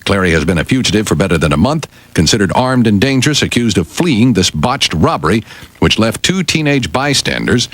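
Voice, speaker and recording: An American man works as a radio newsreader and on-air sidekick. His voice is on the low side and moves animatedly up and down, suited to both headlines and banter. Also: sing-song, fairly quick, dry recording. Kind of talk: news reading and casual banter.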